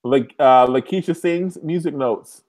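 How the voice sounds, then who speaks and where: Male co-host, slightly high voice, sentimental and joking, live video-call chat. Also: unhurried, darkish tone. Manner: emotional, playful, sings along. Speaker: co-host of a live recap show.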